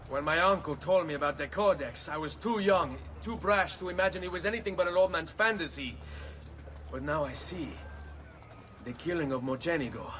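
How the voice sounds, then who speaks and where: A man's voice: fairly high pitch, a bit bright, lightly acted. An actor with an Italian accent playing a brooding, determined nobleman assassin of about thirty in a video game. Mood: dawning resolve. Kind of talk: video game cutscene acting. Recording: music behind the voice.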